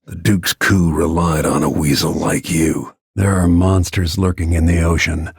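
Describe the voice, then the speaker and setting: An actor playing a grim, vengeful middle-aged assassin in a video game, brooding in a gravelly, husky voice with low-key menace. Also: male voice, fairly even intonation, a little slow, no room sound, clean studio sound, heavily acted. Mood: grim, determined. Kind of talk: dramatic game voice lines, brooding inner monologue.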